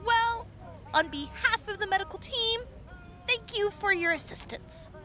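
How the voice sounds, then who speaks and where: A woman's voice: extremely high pitch, animated, measured pace. A woman playing an upbeat young army medic in an audio drama, chatty and giggly, stammering when flustered. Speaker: field medic.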